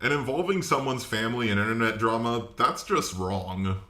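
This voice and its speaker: A man's voice: mid-range voice, animated, moderate pace, a bit bright. A man giving casual reaction commentary. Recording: roomy, echoey voice recording.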